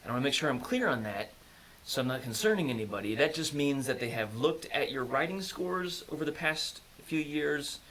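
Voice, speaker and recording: Guy playing a flustered teacher, lots of ums, pitch all over the place. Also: animated, brisk, fairly bright tone, a little hiss or hum, rough recording. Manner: flustered, hedging, filler-heavy talk. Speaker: teacher in a comedy sketch. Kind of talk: improvised comedy sketch on a video call.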